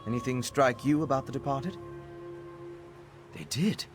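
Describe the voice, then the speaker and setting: A man's voice: medium pitch, a little slow, close to an everyday voice. Man acting a bookish scholar, music behind. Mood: thoughtful, intent. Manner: articulate, thoughtful, scholarly. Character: eager, bookish male scholar researching necromancy. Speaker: game character. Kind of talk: scripted video game dialogue.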